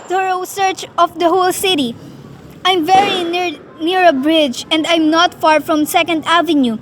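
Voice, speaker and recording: A woman's voice: very high-pitched, fairly even intonation, normal speed, fairly bright tone, a little hiss or hum. A woman playing an anxious, demanding bedridden wife in a radio play, fretful, pleading and imperious. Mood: urgent, pleading. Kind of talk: radio play dramatic acting.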